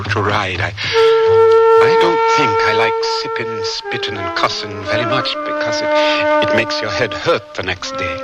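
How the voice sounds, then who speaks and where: A man's voice: medium pitch, poor audio. An actor playing a polite, naive young Liberian man, speaking carefully and hesitantly in a radio drama. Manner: careful, formal, hesitant phrasing.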